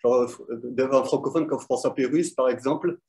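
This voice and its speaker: A man from Belgium, a quiz winner, answering interview questions in French with many fillers and pauses. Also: medium pitch, steady tone, darkish tone. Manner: hesitant, many fillers, thoughtful. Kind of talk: casual interview answers over an online call.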